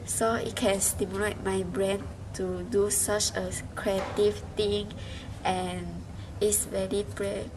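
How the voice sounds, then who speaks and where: A young Malaysian woman, a university student, giving a halting spoken reflection with many restarts and fillers. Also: medium pitch, level tone. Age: young adult. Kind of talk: spoken class reflection in a second language. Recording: noisy background hiss.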